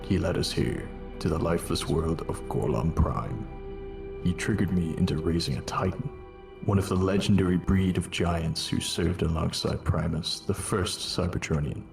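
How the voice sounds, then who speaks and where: Casting: solemn robot war leader, male actor, very low and gravelly, measured cartoon-epic delivery. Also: music in the background. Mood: grave, resolute. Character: weary, noble robot war commander, solemn and reassuring. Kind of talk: dramatic first-person narration in a cartoon fan dub.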